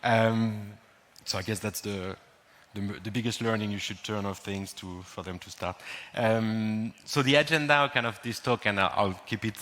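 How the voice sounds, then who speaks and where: A man's voice: lowish voice, normal speed. French man giving a talk in a hall. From France. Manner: relaxed, hesitant, frequent ums and restarts. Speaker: conference speaker. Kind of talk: technical conference talk. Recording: long hall reverb.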